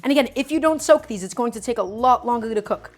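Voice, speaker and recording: A woman's voice: fairly high pitch, animated, quick pace. Upbeat woman hosting a cooking stream. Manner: chatty, keeps addressing viewers as "guys"; upbeat, hypes up the audience. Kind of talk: live cooking stream, reading chat.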